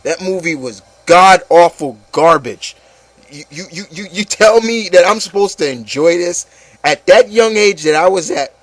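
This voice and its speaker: Exasperated higher-voiced man ranting. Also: dry recording. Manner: repeats words for emphasis, profane. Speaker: host of an opinion show on video games. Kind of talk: casual opinionated rant.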